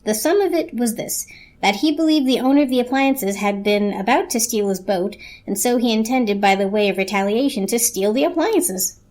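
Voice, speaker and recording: A woman reading scripted story narration as a narrator, in a measured, literary storytelling manner. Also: a little high, a little mellow.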